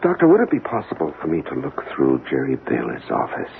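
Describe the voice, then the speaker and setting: An actor playing a dogged, anxious investigator with a halting, probing delivery in a 1970s radio drama. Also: male voice, very deep voice, wildly swooping pitch, creaky, exaggerated voice. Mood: searching, intent. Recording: tinny, faintly hissy 1970s radio broadcast.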